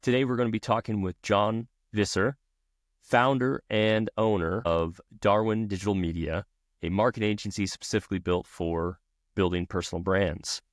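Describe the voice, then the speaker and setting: Deep-voiced male podcast host. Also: moderate pace, dry recording, studio quality. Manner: relaxed, brief affirmations, open questions. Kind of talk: casual interview hosting.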